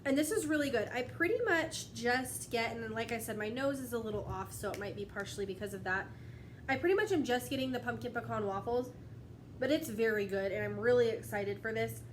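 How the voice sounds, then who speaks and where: A woman's voice: fairly high pitch, brisk, a little hiss or hum. A young woman vlogging casually from home, chatty and rambling, a little stuffy from a cold, often laughing between thoughts. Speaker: wax melt vlogger. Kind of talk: casual chat to camera. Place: at home, indoors. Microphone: tablet camera.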